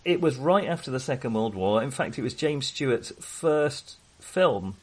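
Male film-show co-host, mid-range voice, conversational and unscripted, hesitant, halting delivery. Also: mid-range voice, expressive. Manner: hesitant, halting, trailing into half-finished thoughts. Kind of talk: casual film discussion.

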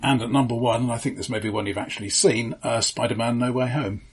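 A man co-hosting a film podcast, talking casually and a little haltingly as he goes through a countdown. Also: medium pitch, fairly even intonation. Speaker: film discussion podcast co-host. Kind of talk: casual chat, presenting a countdown.

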